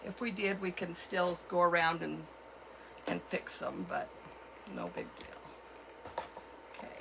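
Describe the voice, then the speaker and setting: A woman with a cold and a froggy, hoarse voice, chatting casually as she walks through a craft tutorial at home. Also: lowish voice, slight background noise, poor audio. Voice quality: froggy, gravelly. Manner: chatty, unhurried, with fillers and self-corrections. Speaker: craft channel host.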